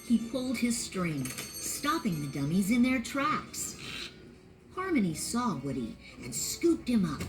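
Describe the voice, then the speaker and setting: A woman narrating a children's read-along storybook in a clear, expressive storytelling manner. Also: mid-range voice, unhurried, over music.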